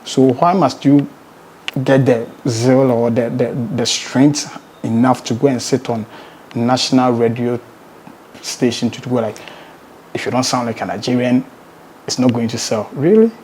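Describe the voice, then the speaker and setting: A man's voice: medium pitch, a little slow, darkish tone, a little hiss or hum. A man with a Ghanaian accent speaking as a musician being interviewed, emphatically, repeating words and posing rhetorical questions. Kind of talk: conversational interview.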